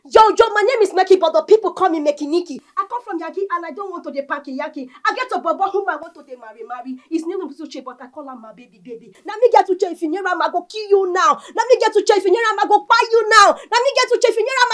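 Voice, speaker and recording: A woman playing a brash, excitable young would-be rapper with a Nigerian accent and a theatrical, chanting delivery in a comic film. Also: high voice, a little fast. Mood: boastful, excited. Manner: theatrical, repetitive chanting and pleading. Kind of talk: comic film acting with Pidgin rapping.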